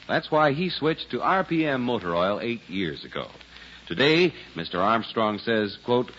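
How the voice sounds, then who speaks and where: Animated 1940s male radio announcer. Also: on the low side, slight background noise. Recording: dull old radio recording.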